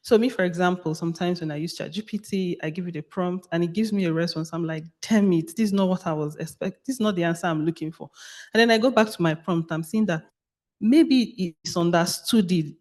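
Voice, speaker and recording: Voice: mid-range voice, brisk. A speaker with a Nigerian accent giving an explanatory answer in a panel discussion, stressing points by repeating phrases. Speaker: panelist on AI language models.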